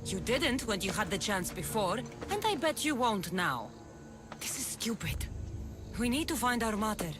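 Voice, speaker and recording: A woman's voice: mid-range voice, sing-song, normal speed, natural voice. An actress voicing a determined young female mercenary hero, firm and earnest in a video game cutscene. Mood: resolute. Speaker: game protagonist. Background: music.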